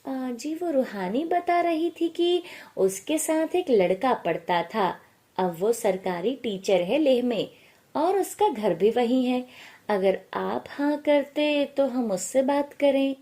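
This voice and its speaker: A woman's voice: sits high, expressive, takes it slowly, warm tone, heavily acted. A woman voicing a chatty middle-aged mother in a story, nagging and exasperated. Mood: coaxing. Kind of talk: acted storytelling dialogue.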